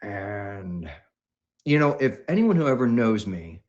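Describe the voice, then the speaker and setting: A man hosting a livestream, talking in an enthusiastic, rambling way with many halting pauses. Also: fairly low pitch, big pitch swings, darkish tone, lo-fi sound. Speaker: livestream host.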